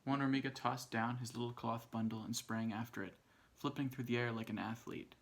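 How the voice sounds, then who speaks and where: Creaky-voiced man reading a storybook. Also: mid-range voice, fairly even intonation, fairly quick. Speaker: storybook reader. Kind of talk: expressive read-aloud narration of a children's story.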